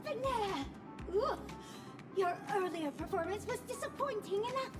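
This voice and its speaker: Squeaky, bossy banana advisor creature. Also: female voice, animated, moderate pace. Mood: scornful. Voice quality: high squeaky cartoonish voice. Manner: bossy, dramatic, tacks "Bana" onto words. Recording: game audio with loud background music.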